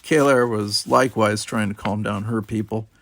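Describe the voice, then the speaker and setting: Gravelly man narrating an audiobook. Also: on the low side, fairly even intonation, moderate pace, a little hiss or hum. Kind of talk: scripted fiction narration.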